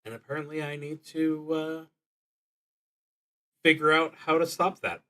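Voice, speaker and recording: A man streaming a solo tabletop roleplaying game, thinking aloud casually and often pausing mid-sentence. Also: a little high, level tone, bright, forceful tone. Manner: halting, with frequent pauses mid-sentence.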